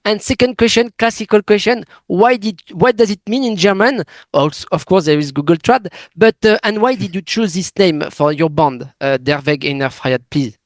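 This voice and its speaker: Interviewer: French-accented man, high voice, dry close sound, hesitant non-native English. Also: dry recording. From France. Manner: halting non-native English with many fillers. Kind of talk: video call interview.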